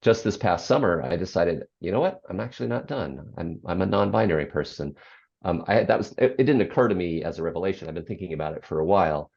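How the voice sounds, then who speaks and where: A speaker in their sixties with a low bass voice, talking reflectively as an interview guest, pausing often with ums and restarting sentences. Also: lively intonation, creaky, darkish tone. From United States. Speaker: guest, author and former radio program director. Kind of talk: interview conversation. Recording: slightly dull lo-fi audio.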